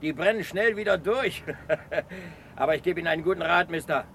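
A high male voice carries over faint outdoor traffic noise. It is an easygoing patrol policeman in a radio crime drama, hearty and helpful. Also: fairly quick, creaky, bright, forceful tone, heavily acted. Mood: friendly, advising.

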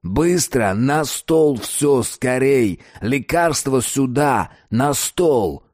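A man's voice: medium pitch, expressive, slow pace, voice pushed up. A man voicing a vicious male gangster in an audiobook, snarling threats and barking short, menacing orders. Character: vicious, menacing male criminal enforcer called Satan.

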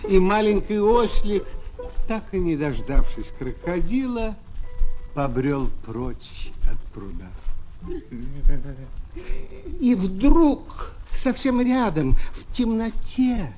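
A man narrating a children's story in an expressive storytelling manner. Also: sits high, slow pace, poor audio.

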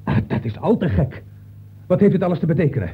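A man's voice: sits high, very animated, quick pace, slightly rough, exaggerated voice. A man playing a harassed, exasperated novelist in a radio play, flustered and stammering. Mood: indignant, flustered. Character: harassed, exasperated male novelist, married, at wits' end. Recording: narrow, muffled old radio recording, noisy.

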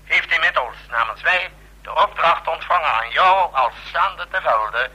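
An actor playing a commanding, brusque president in a Dutch radio drama, barking orders and grumbling asides. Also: male voice, fairly high pitch, lively intonation, unhurried, pushed and bright, breathy edge, exaggerated voice, poor audio. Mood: satisfied, formal.

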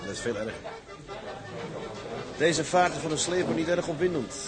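A man's voice: a little high, expressive, normal speed, over music, lightly acted. A man playing a stern, lonely, principled tugboat captain in a radio drama, speaking earnestly and tersely. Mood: brooding. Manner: earnest, terse, matter-of-fact. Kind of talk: Dutch radio drama acting.

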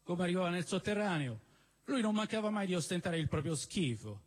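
A man giving an expressive, theatrical reading of literary prose as a storyteller, with emphatic phrasing and deliberate pauses. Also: high-pitched. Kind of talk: expressive dramatic reading of a novel. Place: live stage at a celebration event in a cinema venue.

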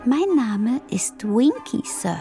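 A woman's voice: a little high, big pitch swings, slow, mellow, soft background music, voice pushed up, heavily acted. A woman voicing a timid, anxious female house-elf servant in an audiobook, with a tiny, trembling, piping voice and a submissive, earnest manner. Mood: awed, curious. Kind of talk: audiobook character voice.

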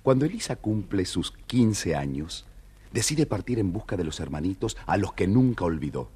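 Deep, very husky male storyteller. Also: very animated, moderate pace, mellow. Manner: theatrical storytelling. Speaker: narrator. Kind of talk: scripted fairy tale narration.

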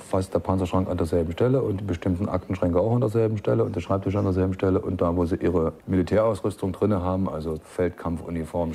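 Man from East Germany, very low mellow voice, colloquial eyewitness account, detailed listing.

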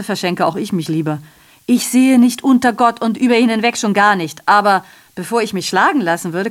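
A woman's voice: on the high side, lightly acted. An actress playing a sardonic, aggrieved female messenger in a radio drama, delivering a digressive monologue full of rhetorical questions and self-corrections.

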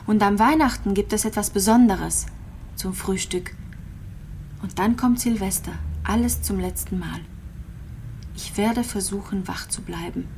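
A slightly noisy recording of an actress playing a gravely ill woman in a radio drama. Her voice is ordinary in pitch and intimate. She muses plainly and haltingly, resigned but still wistfully hopeful. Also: darkish tone, natural voice. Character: terminally ill woman patient, wistful, resigned, clinging to hope.

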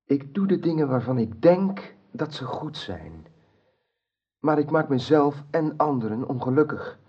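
An actor playing a troubled, honourable knight, grave, earnest and husky, in a radio drama. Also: male voice, medium pitch, heavily acted. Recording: dull lo-fi old radio recording.

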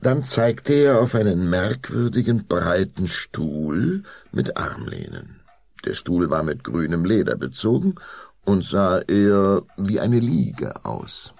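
A male narrator reads a children's story in a very low voice. His delivery is lively in pitch, with a husky, gravelly grain, as in a scripted audio drama. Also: slow pace, no echo.

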